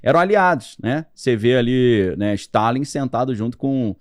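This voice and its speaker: A man's voice: mid-range voice, normal speed, no echo, clean studio sound. A man talking as a podcast guest, explaining history in a casual, loose way with plenty of slang and fillers.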